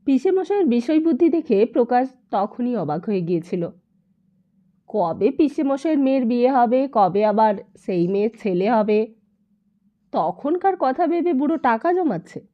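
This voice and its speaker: A woman narrating a literary story as an audiobook, in an expressive, emphatic, dramatic way. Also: a little high, moderate pace, lo-fi sound.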